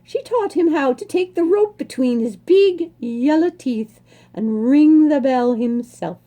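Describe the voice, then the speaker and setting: A woman reading a children's storybook aloud expressively, savoring words with dramatic emphasis and pauses. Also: high-pitched, expressive, warm tone.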